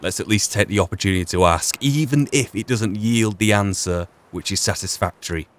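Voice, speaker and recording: Guy doing thoughtful rp game commentary, kinda low voice, muses and asks himself questions. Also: brisk. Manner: reflective, deliberative, rhetorical questions. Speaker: game streamer narrating a role-play playthrough. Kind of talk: musing gameplay commentary.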